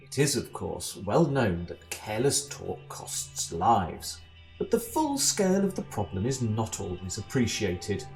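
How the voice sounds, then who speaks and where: A man playing a measured, deadpan narrator of absurd galactic tales in an amateur audio drama. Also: on the low side, rough recording. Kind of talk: scripted comic sci-fi narration. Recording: music behind the voice.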